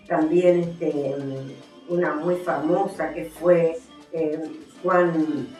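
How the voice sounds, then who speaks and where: A woman with an Argentine accent presenting her books as an author, in a deliberate, explanatory way. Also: fairly low pitch. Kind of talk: book presentation, explanatory talk with some reading. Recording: echoey, somewhat distant from the mic.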